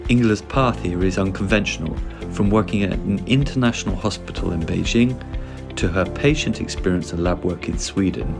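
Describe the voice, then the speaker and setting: Deep-voiced male podcast host over music. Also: a little fast. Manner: smooth, read-aloud delivery. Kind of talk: scripted episode introduction and interview questions. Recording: music bed behind the voice.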